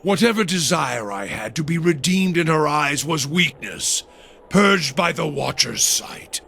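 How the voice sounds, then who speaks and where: An actor playing a bitter, defiant male veteran of a goddess's war in a video game, with a biting, expressive delivery. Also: on the high side, measured pace, gravelly, a little hiss or hum, exaggerated voice. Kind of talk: dramatic video game dialogue.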